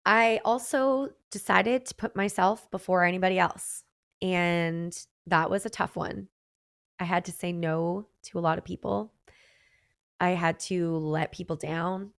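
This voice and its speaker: A woman's voice: fairly low pitch, vocal fry, dry recording, studio quality. A young adult woman, a singer and podcast host, talking candidly and reflectively in a casual personal monologue with frequent "like" and "um".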